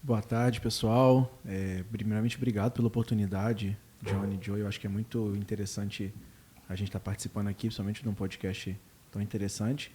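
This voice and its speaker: Fast-talking man. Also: on the low side, little pitch movement, mellow, slightly rough. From Espírito Santo, Brazil. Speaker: podcast guest. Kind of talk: casual interview self-introduction.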